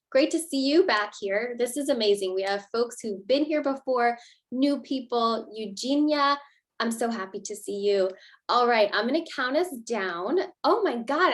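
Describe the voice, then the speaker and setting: A woman hosting an online cooking class over a video call, in an upbeat, enthusiastic manner. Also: a little high. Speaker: host.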